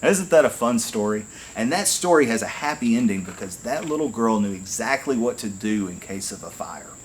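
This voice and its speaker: A man reading a rhyming picture book aloud to children in an expressive, deliberate storytelling manner. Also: fairly low pitch, a little hiss or hum, low-quality recording. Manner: animated storytelling, deliberate phrasing.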